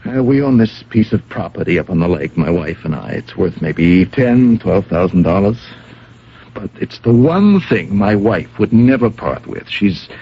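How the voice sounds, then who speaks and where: A man acting in a radio mystery as a nervous, defensive stage manager under suspicion, halting as he protests. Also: lowish voice, very animated, slightly rough, full character voice. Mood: reluctant, uneasy. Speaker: radio drama actor. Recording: dull old radio broadcast recording.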